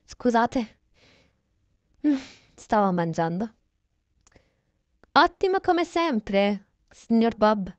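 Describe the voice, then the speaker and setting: A chatty woman with a fairly high voice tells a make-believe daily routine. She improvises casually and corrects herself along the way. The sound is dry and somewhat muffled. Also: sing-song, extremely slow, pauses often, dry recording. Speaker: narrator voicing a toy-game roleplay video.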